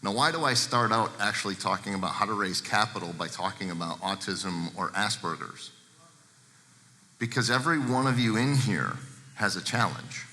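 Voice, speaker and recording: A deep-voiced man gives a candid seminar talk, speaking plainly and turning often to the audience. His low voice has a bright, forceful edge. The sound rings with the long echo of a large hall. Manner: candid, plain-spoken, addresses the audience directly. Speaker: guest speaker. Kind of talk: seminar talk to a live room. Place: large seminar room with a projector. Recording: echoey hall.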